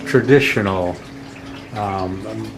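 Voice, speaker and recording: A woman's voice: rumbling low, extremely slow, crowd noise. A woman interviewing a guest, affirming her and summing up her points before asking questions.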